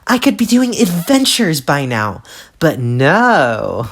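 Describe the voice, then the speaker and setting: A woman's voice: sits low, big pitch swings, slow, breathy edge, full character voice. A woman playing a sullen, sweary young alien troll in a fan audio drama, sarcastic and self-pitying as she vents. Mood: irritated venting. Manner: sarcastic, venting, swooping emphasis. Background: music.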